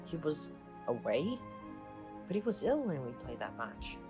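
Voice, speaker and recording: Woman doing a sceptical teen wizard boy for an audio play, low voice, plain questioning way of talking, music behind. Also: sing-song, a little slow. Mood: disbelieving. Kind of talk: fan audio drama acting.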